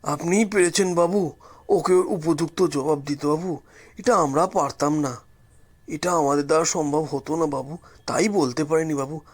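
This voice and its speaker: A man's voice: fairly high pitch, fairly even intonation, normal speed, lightly acted. A man voicing a frightened, apologetic village gatekeeper in an audio story, deferential and pleading, repeating himself. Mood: fearful, apologetic.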